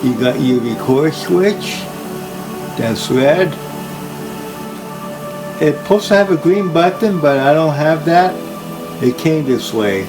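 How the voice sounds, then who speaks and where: An older man casually showing equipment unscripted, plain-spoken and halting, with phrases that trail off. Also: fairly high pitch, lively intonation, over music. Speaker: collector presenting his tape machines. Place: indoor room full of audio gear.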